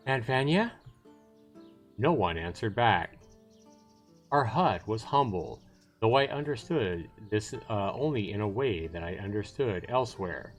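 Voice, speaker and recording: Low-voiced man chatting over music. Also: lively intonation, measured pace. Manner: chatty, thinks aloud between read passages. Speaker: livestream host. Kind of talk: reading a visual novel aloud with casual commentary.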